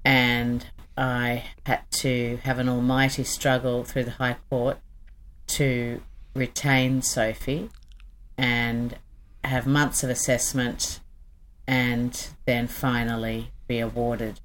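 Woman guest, deep, flat and slow, slight noise behind. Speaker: interview guest. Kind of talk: interview answers.

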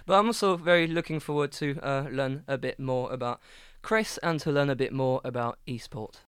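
A man's voice: medium pitch, moderate pace, a bit bright, clear, resonant tone, no room sound, studio quality. Hesitant male podcast host. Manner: hesitant, many ums and restarts. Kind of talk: casual chat.

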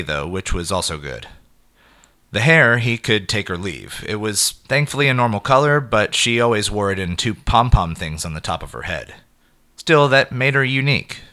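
A man narrating a scripted short story in an expressive, measured way with clipped phrases. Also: fairly low pitch.

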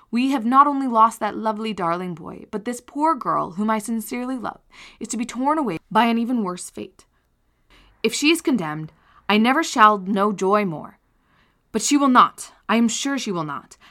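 A woman reading the lines of a gentle young woman who pleads with grief and hope. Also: a little high, pitched above the performer's own voice. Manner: earnest, heightened reading.

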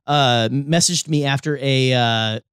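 A man's voice: mid-range voice, slow pace, a bit bright, smooth, no echo, studio quality. A young man co-hosting a podcast, talking earnestly in a rambling way, with lots of restarts. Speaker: podcast co-host. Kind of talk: casual podcast chat.

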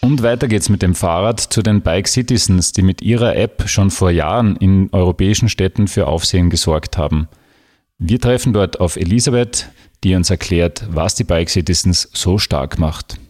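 A man's voice: low voice, normal speed, darkish tone. A man reading a scripted voice-over that bridges two segments in an announcing manner.

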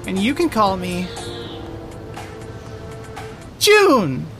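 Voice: mid-range voice, wildly swooping pitch, takes it slowly, a bit bright, faint music behind, exaggerated voice. A performer voicing June, an overwhelmed young girl who keeps laughing and crying as she comes out in a fan audio drama. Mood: relieved laughter. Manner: laughing and crying through her words.

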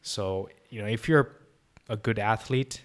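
A man's voice: fairly low pitch, measured pace. A young man whose first language is Chinese, talking casually as an interview guest, thoughtful and full of restarts. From China. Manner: thoughtful, many restarts and fillers. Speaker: podcast guest.

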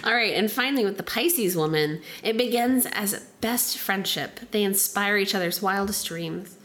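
A woman's voice: normal speed, a bit bright. A woman co-hosting a casual podcast who reads aloud from a book with a cold, stumbling over words and giggling. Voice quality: deep voice from a cold. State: has a cold. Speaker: podcast co-host.

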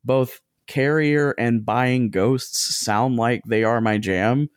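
A man's voice: mid-range voice, slow pace, clean tone, no room sound, studio quality. A man talking casually as a podcast host, rambling and correcting himself in an informal, jokey way. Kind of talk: casual solo podcast talk, recommending.

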